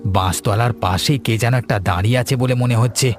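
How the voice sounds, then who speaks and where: A man's voice: mid-range voice, a little fast, soft background music, close to an everyday voice. A man playing a cautious, uneasy village shopkeeper in a cartoon ghost story, hesitant and wary.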